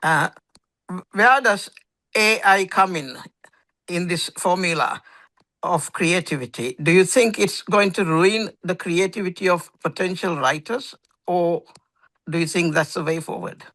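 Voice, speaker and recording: A man from the audience asking a polite question, halting now and then with fillers. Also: sits high, bright, forceful tone, dry recording. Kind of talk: question from an online meeting.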